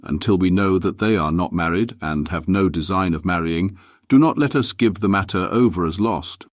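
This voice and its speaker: A man voicing a calm, reassuring middle-aged gentleman in an audiobook, speaking in a measured, steadying way. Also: low voice, no room sound, studio quality, voice pushed down, natural voice. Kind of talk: dramatized audiobook character reading.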